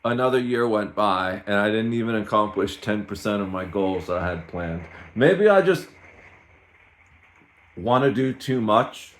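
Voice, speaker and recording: Slow, low-voiced man in his thirties. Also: lively intonation. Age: 30s. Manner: rambling, self-mocking, slow and unhurried. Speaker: streamer. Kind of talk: casual solo vlog talk.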